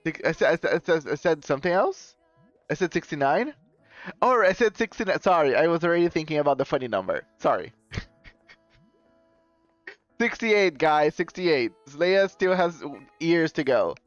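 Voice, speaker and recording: A man's voice: a little high, lively intonation, normal speed, no room sound. A man streaming live and chatting casually with his viewers, rambling and often correcting himself with a chuckle. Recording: dull lo-fi stream audio with faint music.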